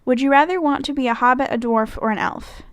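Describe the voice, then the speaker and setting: A woman's voice: high-pitched, quick pace, dry recording. A young woman from Michigan with a Midwestern American accent, chatting casually through a fan questionnaire, rambling with lots of fillers and self-corrections. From Michigan, United States. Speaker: vlogger.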